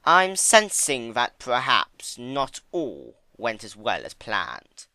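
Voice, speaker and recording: Guy doing a grand menacing chaos spirit for a story, forceful and loud, stretches words out, big swooping pitch. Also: wildly swooping pitch, takes it slowly, no echo, heavily acted. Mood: grandly probing. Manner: theatrical, emphatic. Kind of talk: dramatic fan-fiction reading.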